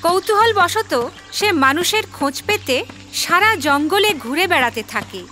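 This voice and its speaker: A woman's voice: very high voice, lively intonation, a little slow, over music. A woman narrating a scripted children's story as the storyteller.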